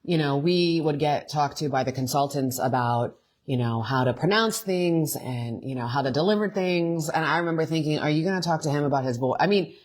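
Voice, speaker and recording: A woman, co-hosting a morning talk show and telling animated stories. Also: fairly quick. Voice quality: deep voice. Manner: animated storyteller, restarts sentences. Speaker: co-host. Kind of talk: casual morning show chat.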